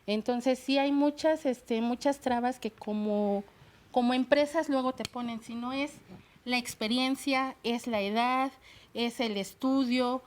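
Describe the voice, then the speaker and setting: A middle-aged woman speaks in a fairly high voice as a talk show guest. She talks in a hesitant, halting way, restarting her sentences. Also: darkish tone. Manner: hesitant, many fillers and restarts. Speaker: guest, bilingual secretary. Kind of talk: personal testimony on a talk show.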